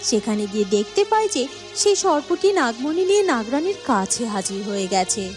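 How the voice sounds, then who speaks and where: A woman's voice: on the high side, very animated, a little fast, over music. A woman reading scripted narration for a fairy-tale story.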